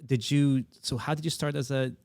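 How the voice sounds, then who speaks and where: A man from Poland hosting an interview podcast, talking quickly with frequent restarts. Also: mid-range voice, steady tone, a little mellow. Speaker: podcast host.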